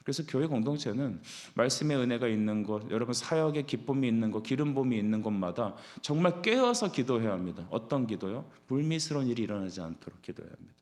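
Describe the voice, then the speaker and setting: Man, pastor, mid-pitched voice, expository sermon, exhorting delivery, frequent rhetorical questions. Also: expressive, quick pace, hardly any pauses, a little mellow. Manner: exhorting, addresses listeners often with rhetorical questions. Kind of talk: dawn service sermon, expository preaching.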